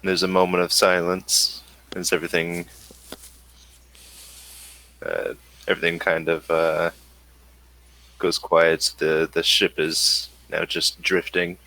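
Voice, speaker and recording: Male game master, deep and bright-toned, halting improvised narration, dry recording. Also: monotone, dry recording, low-quality recording.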